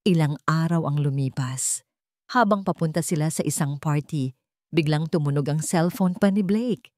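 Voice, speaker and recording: A woman's voice: deep voice, moderate pace, dark tone, no room sound, studio quality. A woman narrator reading a scripted romance story in a dramatic storytelling style.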